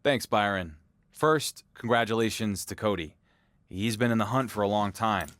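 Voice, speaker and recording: A man's voice: fairly low pitch, normal speed, a bit bright, no echo, clean studio sound, lightly acted. A man playing a confident wrestling champion in a game cutscene, talking in a wry, self-assured way. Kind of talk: video game cutscene acting.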